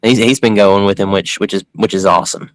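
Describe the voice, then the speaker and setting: A man, hosting a podcast in a laid-back, halting way with frequent restarts. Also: lowish voice, crowd noise. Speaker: podcast host. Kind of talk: casual podcast chat.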